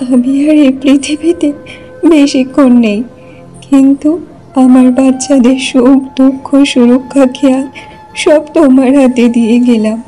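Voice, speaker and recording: A woman's voice: sits high, dark tone, poor audio. A woman voicing a dying young mother in a cartoon folk tale, pleading tenderly and solemnly.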